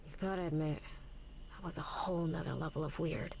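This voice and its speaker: A woman's voice: low voice, fairly even intonation, fairly quick, creaky, darkish tone, slight background noise. An actress voicing a frank, uneasy young woman at a convent, plain and blunt, in a visual novel.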